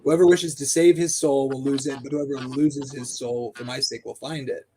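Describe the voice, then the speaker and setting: A man in casual discussion who reads passages aloud and thinks out loud, halting with fillers. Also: mid-range voice, steady tone, slight background noise.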